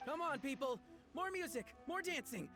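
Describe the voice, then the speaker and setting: An actor playing an earnest, theatrical young festival hype-man in animated video game dialogue. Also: very high-pitched, moderate pace, full character voice. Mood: hyped, cheery. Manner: theatrical, over-enthusiastic. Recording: game audio with music.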